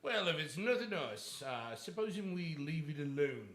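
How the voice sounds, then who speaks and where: An actor playing a greedy, nervous swindler in a radio drama, halting and stammering between oily politeness and bluster. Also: male voice, a little high, sing-song, fairly bright tone. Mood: nervous, evasive. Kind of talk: theatrical radio drama acting.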